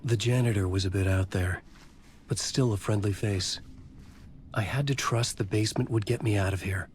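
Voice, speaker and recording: An actor playing a troubled, brooding writer in a video game, with a terse, grave delivery. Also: male voice, lowish voice, normal speed, natural voice. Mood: brooding, wondering. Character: troubled, trapped male writer, brooding and determined. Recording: clear ambient noise behind voice. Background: game ambience.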